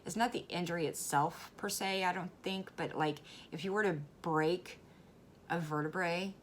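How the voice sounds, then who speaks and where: A woman with a low, slightly hoarse voice chats earnestly straight to her viewers, doubling back on her words and breaking into soft laughs. Her talk is casual and plain. A faint hiss sits under the recording. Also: normal speed. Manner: chatty, repeats words, laughs often. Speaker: vlogger. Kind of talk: casual educational vlog.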